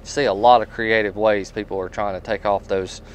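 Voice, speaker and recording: Low-voiced man, rambling. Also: moderate pace. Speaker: tool truck dealer. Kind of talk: casual product talk and storytelling. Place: inside a tool truck. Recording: steady background noise.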